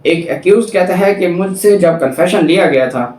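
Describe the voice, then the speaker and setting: Echoey, higher-pitched Pakistani man lecturing. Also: normal speed, a little mellow. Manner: didactic, repeats points for emphasis. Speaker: law lecturer. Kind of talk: explanatory lecture, alternating reading aloud and explaining. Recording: echoey room, distant mic.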